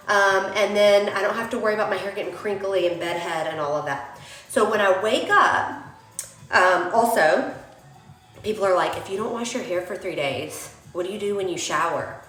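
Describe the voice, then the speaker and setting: A woman's voice: medium pitch, a bit bright. A young woman, chatting casually through a hair-care tutorial while sniffling from a cold. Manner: chatty, addresses viewers as y'all. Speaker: beauty vlogger. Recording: roomy echoey home recording.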